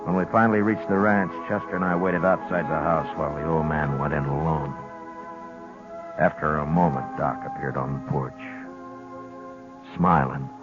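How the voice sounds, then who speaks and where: An actor playing a grave, tough frontier marshal in a 1950s radio western, narrating in a measured, somber way. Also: male voice, rumbling low, steady tone. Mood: somber narration. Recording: dull 1950s radio recording with music. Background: dramatic music.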